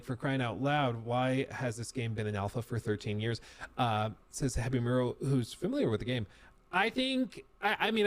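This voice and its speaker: Man reading a news report in a mid-range voice. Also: mid-range voice. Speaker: narrator of a news report clip.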